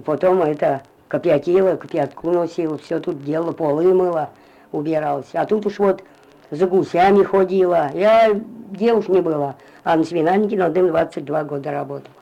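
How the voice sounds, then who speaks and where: Old village woman with a dark, lo-fi voice. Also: low-pitched, a little fast, vocal fry. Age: older. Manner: meandering, repetitive, drops to a mumble at phrase ends. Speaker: interviewee, retired farm worker. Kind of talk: rambling spoken memories. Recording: lo-fi field recording.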